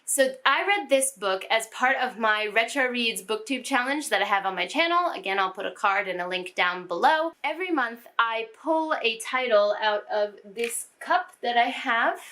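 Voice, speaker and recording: A woman's voice: fairly high pitch, animated, moderate pace, few pauses, sharp tone. A young woman chatting casually about books she read, laughing often and filling with "like" and "you know". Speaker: book vlogger. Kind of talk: casual book review chat. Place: home room with bookshelves.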